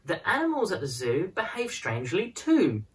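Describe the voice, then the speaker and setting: A woman narrates a children's storybook in a fairly low voice. Her intonation is very lively, rising and falling widely as she shapes prose and verse expressively for young listeners. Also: normal speed. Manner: expressive, dramatized phrasing for children. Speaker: storyteller reading a children's picture book.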